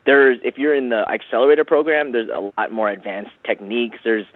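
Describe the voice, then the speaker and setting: A man on a phone, giving a casual, slangy, persuasive sales pitch peppered with man and bro. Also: steady tone, brisk, no room sound. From San Francisco Bay Area, USA. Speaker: phone sales representative for a self-help program. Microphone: telephone. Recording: tinny narrowband phone line.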